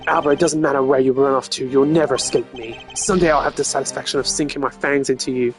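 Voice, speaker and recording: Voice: mid-range voice, fairly even intonation, fast talker, faint music behind, close to an everyday voice. A streamer voicing a fierce, hot-tempered male international police agent in a video game, intense and threatening. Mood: vengeful.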